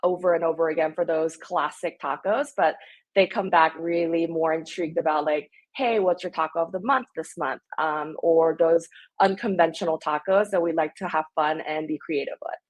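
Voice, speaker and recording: A woman's voice: low voice, moderate pace, poor audio. A woman in her thirties, born in Korea, talking upbeat and enthusiastic as a restaurant-owner guest in a casual podcast interview.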